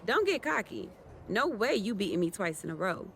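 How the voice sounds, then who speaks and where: A woman's voice: mid-range voice, big pitch swings, normal speed, creaky, fairly bright tone, slight background noise. A woman playing a brash, competitive champion pro wrestler with a cocky manner in video game cutscene dialogue. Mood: cocky, playful.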